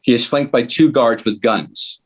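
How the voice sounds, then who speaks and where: Man reading stage directions, medium pitch. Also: a little slow. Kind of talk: scripted narration at table read.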